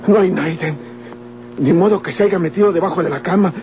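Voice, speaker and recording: An actor playing a restless, frightened Mexican rancher who stammers and mutters to himself in old radio drama style. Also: male voice, high voice, a little fast, a little hiss or hum. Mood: startled, frightened. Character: restless, frightened rancher tormented by sleeplessness and nightmares. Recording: tinny old radio recording, loud music.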